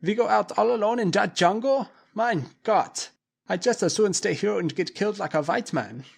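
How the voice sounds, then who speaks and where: A man's voice: sits high, normal speed, creaky, husky, pitched above the performer's own voice, full character voice. A man voicing a terrified, whining man with a heavy foreign accent who wails in panic. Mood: panicked. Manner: wailing, panicky.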